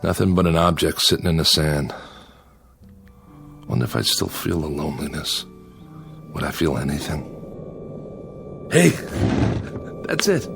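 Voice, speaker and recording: Deep-voiced lonely exiled convict. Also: male voice, big pitch swings, measured pace, creaky, long pauses, a bit bright, full character voice. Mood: excited, eager. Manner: brooding to himself, eager and hospitable with visitors. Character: lonely exiled male convict on a desert asteroid. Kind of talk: audio drama acting. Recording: music bed under the voice.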